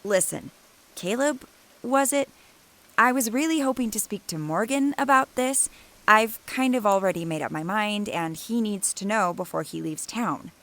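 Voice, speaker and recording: A woman's voice: mid-range voice, animated, moderate pace, a bit bright. A woman playing a skittish, sardonic young college student in an audio drama, casual and hesitant, often muttering to herself. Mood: impatient. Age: young adult. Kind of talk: naturalistic audio drama acting.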